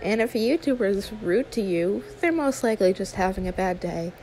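A woman's voice: mid-range voice, lively intonation, a little fast, dark tone, slight background noise, close to an everyday voice, low-quality recording. A woman voicing an anxious, sweet young female YouTuber in an audio roleplay, stammering, awkward and apologetic. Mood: reassuring. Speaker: ASMR roleplay performer. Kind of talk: one-sided ASMR audio roleplay.

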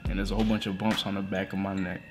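A man's voice: low voice, little pitch movement, rapid-fire. Young American man with music behind. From Atlanta, United States. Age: young adult. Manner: slangy. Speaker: host making prank calls. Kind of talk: casual vlog chat and prank calling. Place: living room at home.

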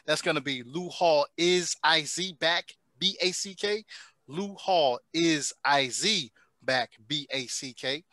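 A man's voice: on the high side, slow pace, pushed and bright, no echo. A young American man co-hosting a podcast, chatting casually into a headset. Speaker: podcast co-host. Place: indoor room, video call.

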